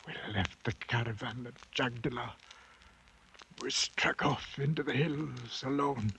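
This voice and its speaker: An actor playing a broken, half-mad British ex-soldier in a 1950s radio drama, halting and rambling in a working-class British accent. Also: male voice, mid-range voice, fairly bright tone, slight background noise. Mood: haunted. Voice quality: husky, frail. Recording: muffled vintage 1950s radio broadcast.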